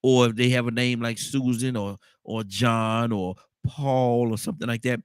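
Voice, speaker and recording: A man's voice: medium pitch, steady tone, normal speed, smooth, no room sound, studio quality. A man hosting a morning call-in radio show with a stuffed-up nose, talking in a rambling way and repeating the phone number over and over.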